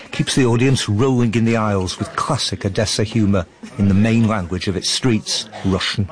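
Low-voiced male radio correspondent. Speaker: news correspondent. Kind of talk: scripted radio report narration.

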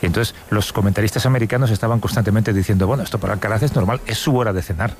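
A man co-hosting a casual chat, explaining at a relaxed pace with small hesitations. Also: lowish voice, racing, crowd noise. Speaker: co-host.

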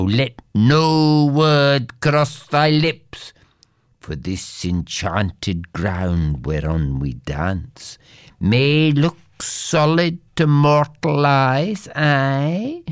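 A man's voice: lowish voice, no echo, clean studio sound, pitched below the performer's own voice, full character voice. A man doing a wailing old goblin, once mortal. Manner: archaic, solemn, breathy whispering at times.

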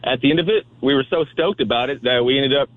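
A man's voice: medium pitch, fast talker, dry recording. A man phoning a radio show and telling a story casually over a narrow, tinny phone line. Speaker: radio call-in guest.

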